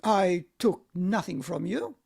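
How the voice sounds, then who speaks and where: A woman's voice: fairly low pitch, lively intonation, slow pace, creaky, a little mellow, breathy edge, heavily acted. A woman playing a despairing, self-loathing middle-aged man in an amateur play reading, in an anguished, theatrical manner. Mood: irritated. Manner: anguished, theatrical, bitterly laughing.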